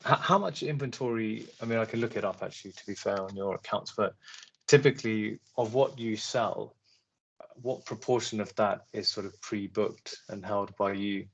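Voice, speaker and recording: A man with a fairly low voice asks questions on an investor call. He is polite and tentative, often stopping to reword what he means. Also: normal speed, vocal fry, muffled recording, a little hiss or hum. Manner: hesitant, polite, rephrases often.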